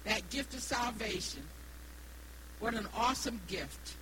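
A woman in her sixties with a New England accent preaches haltingly, repeating phrases and stressing key words. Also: on the high side, animated. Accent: New England American. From Rhode Island, United States. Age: 60s. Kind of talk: evangelical sermon with personal testimony. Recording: noisy live recording with hum.

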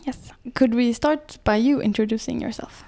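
A woman hosts a podcast from an apartment, her voice sitting a little high for a woman. She keeps her questions short and soft-spoken, gently steering the interview. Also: normal speed. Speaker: podcast host.

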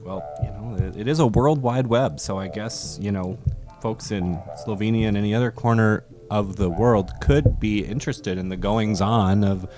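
A man's voice: lowish voice, moderate pace, hardly any pauses, music in the background. A man hosting a comedy podcast, dry and mock-polite as he plays the banter straight. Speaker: podcast host.

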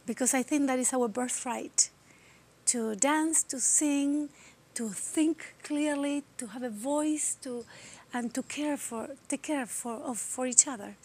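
A middle-aged woman from Argentina with an Argentine accent and a slightly raspy voice, speaking earnestly as an interview guest. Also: high voice, a little mellow, slight background noise. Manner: earnest, unhurried, restarts phrases. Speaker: guest, teacher of voice and body techniques. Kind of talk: conversational interview.

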